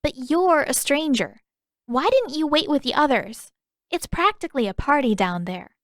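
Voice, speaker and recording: Storybook little girl, blunt and sure of herself, very animated, dry close sound woman performer. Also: sits high, normal speed, no echo, voice pushed up. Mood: accusing, blunt. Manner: blunt, authoritative, childlike. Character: bossy, blunt little girl of about ten.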